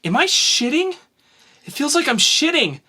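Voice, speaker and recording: A man's voice: extremely high pitch, very animated, a little slow, bright, forceful tone, voice pushed up, exaggerated voice. A man reading aloud as a woman in labor, putting on a raised, crude and panicky voice for an amateur dramatic reading.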